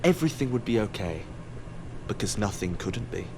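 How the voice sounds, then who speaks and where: Man acting wry, low-pitched ominous storyteller. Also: big pitch swings, moderate pace, noisy background. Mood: tense, ominous. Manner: dramatic, sardonic. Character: wry, ominous, all-seeing storyteller of a sci-fi tale. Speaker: narrator. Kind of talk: scripted audio drama narration.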